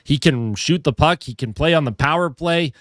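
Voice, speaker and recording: A man's voice: fairly high pitch, moderate pace, a bit bright, no echo. A young man with a head cold and a stuffed-up voice, chatting casually on a hockey live stream, greeting viewers and reading their comments. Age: young adult. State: a little tired.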